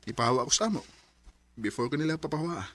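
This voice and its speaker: Husky, earnest man confessing love. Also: fairly high pitch, very fast, fairly bright tone. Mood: earnest, relieved. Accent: Cebuano. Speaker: radio drama actor. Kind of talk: Filipino radio drama acting.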